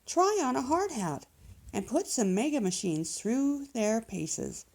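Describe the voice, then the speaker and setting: Woman voicing an animated, enthusiastic professor bear. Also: a little high, slow, exaggerated voice. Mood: encouraging. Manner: encouraging, explanatory, emphatic. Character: enthusiastic male professor bear, chatty teaching guide. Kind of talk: children's storybook character voice.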